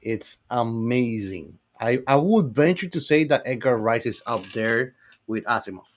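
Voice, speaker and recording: A man's voice: mid-range voice, lively intonation, a little slow. A man co-hosting a podcast, chatting casually in an enthusiastic, emphatic and playfully argumentative way. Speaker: podcast co-host. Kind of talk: casual fan chat.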